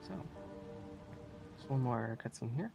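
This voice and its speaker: A woman with a very deep voice talks through a speedrun live. Her delivery is casual and a little halting, with small chuckles, and music plays clearly behind her. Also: animated.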